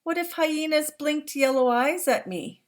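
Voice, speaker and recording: A woman's voice: high voice, unhurried, pitched above the performer's own voice. A woman voicing a young boy who eagerly asks his father question after question, read aloud from a picture book. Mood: pleading. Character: young Maasai boy, curious and anxious.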